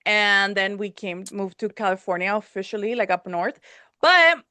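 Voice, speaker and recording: A woman's voice: medium pitch, lively intonation, normal speed, bright, forceful tone, smooth, no echo. A woman from Mexico hosting a podcast, chatting casually and mixing Spanish words into her English. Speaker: podcast host. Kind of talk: casual chat.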